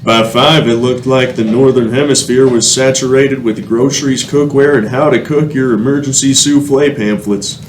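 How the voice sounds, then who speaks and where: A man's voice: medium pitch, steady tone, moderate pace, natural voice. A man narrating a radio play in a scripted, dramatic storytelling style. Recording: echoey room, faint noise.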